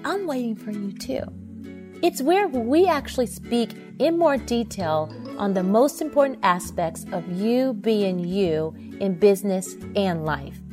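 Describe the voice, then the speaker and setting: A woman's voice: medium pitch, lively intonation, a little slow. A woman reading a scripted, friendly podcast outro inviting listeners to join a group. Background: background music.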